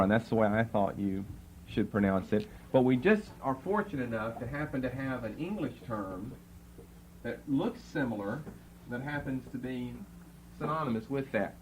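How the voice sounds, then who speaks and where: A man giving a Bible lecture to a class, spelling out words letter by letter and repeating key terms slowly. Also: mid-range voice, a little hiss or hum, rough recording. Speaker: Bible teacher. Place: classroom with students writing.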